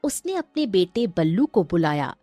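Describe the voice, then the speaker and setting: A woman's voice: lowish voice, big pitch swings, normal speed, dark tone, no echo, faint music behind. A woman reading scripted narration for a children's story.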